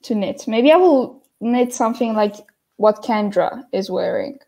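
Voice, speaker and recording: A woman's voice: mid-range voice, a little slow, creaky, mellow. A young woman from the United States, an English teacher chatting casually on a home video call, friendly and laughing often. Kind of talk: casual livestream lesson chat. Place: small room at home, indoors. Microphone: laptop mic on a video call.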